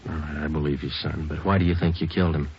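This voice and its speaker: An actor playing a police detective who narrates and questions in a clipped, matter-of-fact, deadpan way, in 1940s radio drama style. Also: male voice, rumbling low, quick pace, breathy edge, full character voice. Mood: flat. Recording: dull old radio broadcast with music.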